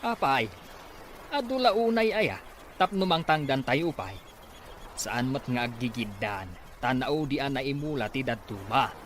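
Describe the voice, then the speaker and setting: A man's voice: mid-range voice, animated, a little slow, fairly bright tone, a little hiss or hum. A man playing a stern, scolding farmer father in an Ilocano radio drama. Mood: scolding, exasperated. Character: stern farmer father scolding his lazy college-age children.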